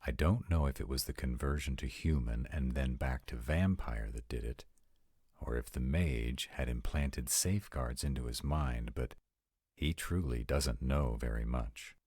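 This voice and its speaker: Man acting a haughty, very deep-voiced vampire. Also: a little mellow, husky, no room sound, studio quality, heavily acted. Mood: cool, probing. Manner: formal, measured, imperious. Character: haughty, commanding male vampire, coolly formal. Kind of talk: scripted roleplay audio drama.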